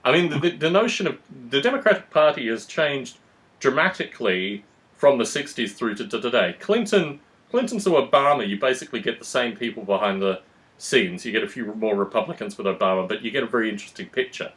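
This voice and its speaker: A man's voice: mid-range voice, expressive, fairly quick, a bit bright. A man hosting a conversational discussion, explaining his views in a long-winded, analytical way. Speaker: podcast host.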